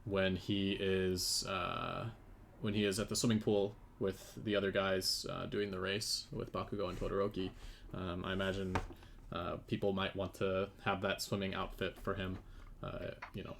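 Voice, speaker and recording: A man with a low, creaky voice talks casually as he demonstrates his work on a live stream. He thinks aloud in a halting way. There is faint noise with keyboard typing and clicks behind him. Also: fairly bright tone. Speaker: game developer and 3D modeller presenting.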